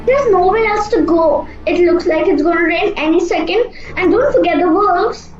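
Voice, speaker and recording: A woman playing a sensible, bossy young sister in a radio play, brisk and scolding. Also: very high voice, music in the background. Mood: scolding, insistent. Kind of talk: amateur radio play acting. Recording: muffled, echoey room recording.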